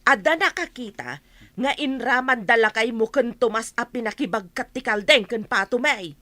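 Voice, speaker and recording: A woman's voice: on the high side, lively intonation, normal speed, bright, forceful tone, no room sound. A woman with an Ilocano accent playing an indignant, accusing village wife in a radio drama. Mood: accusing, angry. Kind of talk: Filipino radio drama acting.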